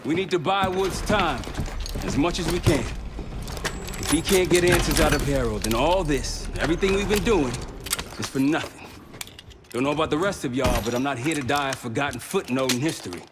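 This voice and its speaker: A man's voice: on the high side, fairly quick, noisy background, natural voice. An actor playing a hardened, resolute male squad leader in a military video game, giving orders with clipped urgency. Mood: rallying, resolute. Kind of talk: dramatic video game acting. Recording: loud dramatic music underneath.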